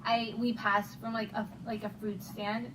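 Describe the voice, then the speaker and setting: A woman's voice: medium pitch, little pitch movement, measured pace. A young woman with a Mexican accent, a Spanish speaker, telling rambling stories full of fillers in a band vlog. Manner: rambling, filler-heavy storytelling. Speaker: band member vlogging. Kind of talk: casual vlog chat. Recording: distant mic with loud noise.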